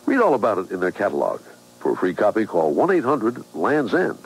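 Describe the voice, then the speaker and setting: A man's voice: fairly low pitch, huge pitch swings, fairly quick, vocal fry, slightly rough, rough recording. A man reading a scripted catalog advertisement for radio as its announcer. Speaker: commercial announcer.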